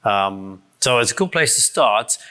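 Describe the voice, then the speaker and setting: A man in his forties from South Africa, with a South African accent softened by years abroad, giving a casual conference talk with many fillers and restarts. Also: lowish voice, expressive, a little slow, smooth. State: voice strained from shouting the night before. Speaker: conference speaker. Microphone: handheld or stand mic.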